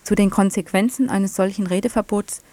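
A woman's voice: mid-range voice, fairly even intonation, fairly quick, warm tone, no echo. A woman radio reporter reading a scripted news report.

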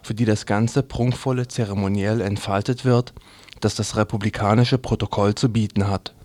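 Low-voiced man reading scripted radio commentary. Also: fairly even intonation, moderate pace, a little mellow. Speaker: radio presenter.

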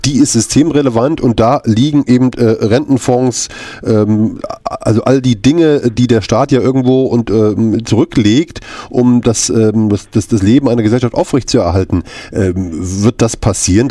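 Hesitant male radio interviewer, mellow voice. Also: mid-range voice, moderate pace, dry recording. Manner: hesitant questioning, many filler sounds.

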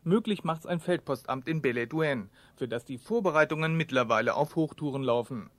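A man's voice: fairly high pitch, fairly quick, fairly bright tone, no echo. A man reading out a scripted news report. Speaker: news reader.

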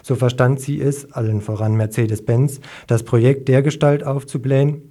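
A man's voice: mid-range voice, steady tone, normal speed, warm tone. A man presenting a radio news report, reading from a script.

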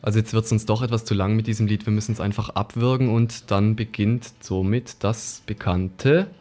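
Guy on local radio, lowish voice, chatting thru the news kinda hesitant and unscripted. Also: steady tone, moderate pace, over loud music. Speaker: radio presenter.

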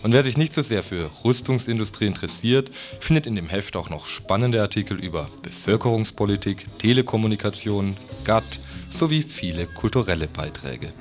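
A man's voice: lowish voice, animated, a little fast, few pauses, soft background music. A man presenting scripted news items on a morning radio programme.

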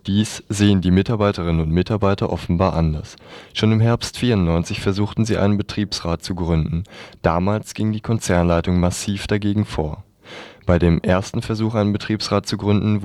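Low, dry-sounding male radio newsreader. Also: darkish tone, dry recording. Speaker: radio news reader. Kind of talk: scripted news reading.

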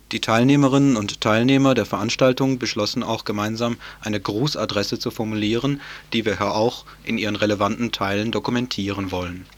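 A man presenting a community radio news show in a loose, conversational way. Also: on the low side. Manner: relaxed, loosely worded, conversational. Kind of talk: informal radio news moderation.

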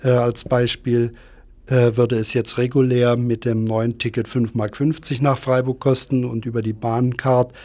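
A man with a dark, relaxed voice speaks as an activist guest in a radio interview. He explains his points haltingly and without a script. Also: medium pitch.

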